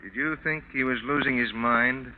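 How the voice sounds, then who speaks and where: An actor playing a formal, methodical police inspector in a 1940s radio drama, official and matter-of-fact. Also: male voice, mid-range voice, steady tone, normal speed, close to an everyday voice. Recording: narrow old radio, faint noise.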